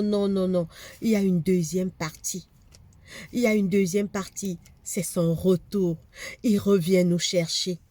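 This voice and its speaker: Woman preacher with a cold, warm mellow tone, fervent and repetitive delivery. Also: mid-range voice, no echo. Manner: fervent preaching, repeats phrases for emphasis. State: has a cold, congested. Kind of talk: sermon.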